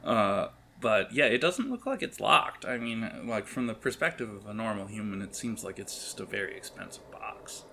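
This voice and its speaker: A man's voice: on the low side, expressive, moderate pace, creaky, few pauses, pushed and bright, a little hiss or hum. A man narrating a tabletop game as game master in a casual, rambling, improvised way. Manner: rambling, improvising, hesitant phrasing.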